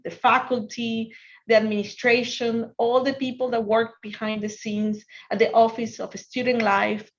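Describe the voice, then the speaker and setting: A woman's voice: on the high side, dull audio. A woman from Ecuador with a Spanish accent, a native Spanish speaker, presenting a finance workshop online and often restarting her sentences. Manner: earnest, restarts sentences, asks if the audience can hear.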